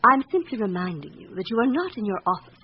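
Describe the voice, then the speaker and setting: Haughty lady with swooping pitch. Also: sing-song, fast talker. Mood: defiant, indignant. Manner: cold, imperious, clipped. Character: haughty, defiant upper-class married French lady suspect. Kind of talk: radio drama acting. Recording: muffled old radio recording, background hiss.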